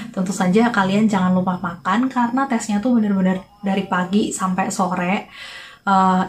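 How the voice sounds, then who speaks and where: A young woman from Indonesia talks through exam tips for her channel in a loose, chatty way. She leans on fillers and drops English phrases into her sentences. Her pitch sits in an ordinary middle range. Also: slight background noise. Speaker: vlogger. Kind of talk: casual tips vlog.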